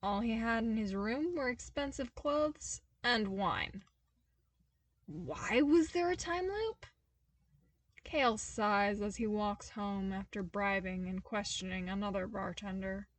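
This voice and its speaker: A woman narrating a story aloud with a middle-pitched voice, lively and expressive, jumping into exasperated comic outbursts. The recording is close and dry, with no room sound. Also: takes it slowly, no room sound. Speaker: podfic reader. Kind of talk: expressive fan fiction audiobook narration.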